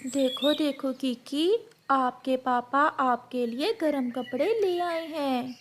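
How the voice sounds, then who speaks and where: A woman's voice: high voice, slow pace, slight background noise. A woman voicing a polite, humble mother bird in a cartoon. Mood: earnest.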